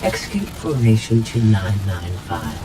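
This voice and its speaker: An actor playing a cold, scornful robotic villain in a video game, speaking in a measured, grandiose way. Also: male voice, fairly low pitch, very animated, very noisy. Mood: scornful triumph.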